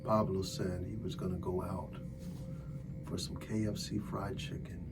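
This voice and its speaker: A man playing a calm, methodical, sardonic police detective in an ASMR roleplay, talking deliberately with drawn-out phrasing and wry asides. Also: sits low, voice pushed down. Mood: matter-of-fact. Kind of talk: slow ASMR roleplay acting. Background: music.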